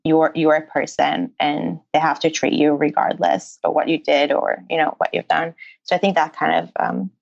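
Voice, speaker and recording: A woman's voice: sits low, gravelly, a little mellow, no echo, muffled recording. A young woman from Israel in her twenties, a guest answering interview questions earnestly with frequent fillers. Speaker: guest, incoming medical student.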